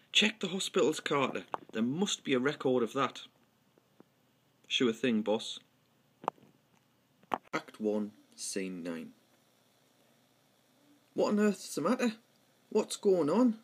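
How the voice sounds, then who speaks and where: A man with a fairly high voice reads stage dialogue as an amateur. His accent is North East English pitmatic, from around Houghton-le-Spring. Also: big pitch swings, takes it slowly, long pauses, husky. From north-east England. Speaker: amateur script reader. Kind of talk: dramatic dialogue read-through.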